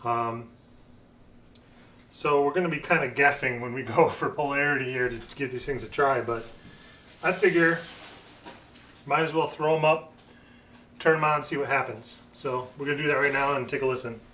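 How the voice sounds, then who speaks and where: A man giving a casual, unscripted gear review, thinking aloud in a halting way as a vlogger. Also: medium pitch, bright, forceful tone, slight background noise. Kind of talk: casual unscripted product talk. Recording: roomy echo, distant microphone.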